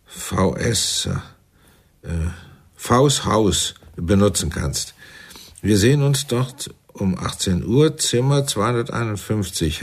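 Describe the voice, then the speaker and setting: An actor playing a shrewd, commanding intelligence chief in a German radio play, speaking deliberately with sardonic persuasion. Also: male voice, fairly low pitch. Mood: reading out deliberately.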